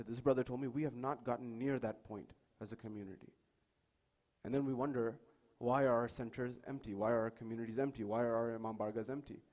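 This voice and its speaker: A man's voice: fairly high pitch, darkish tone. A man moderating a panel, giving an emphatic public talk with storytelling and rhetorical repetition.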